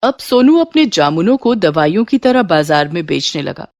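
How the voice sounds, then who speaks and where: A woman's voice: fairly low pitch, big pitch swings, moderate pace, darkish tone, no echo, studio quality. A woman narrating a scripted moral story as a storyteller.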